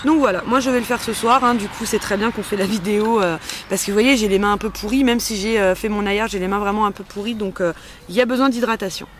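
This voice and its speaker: Chatty playful lady doing a relaxed how-to, middle pitch, clear background noise behind her. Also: quick pace. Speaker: host of a homemade beauty-care tutorial. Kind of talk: casual spoken step-by-step demonstration.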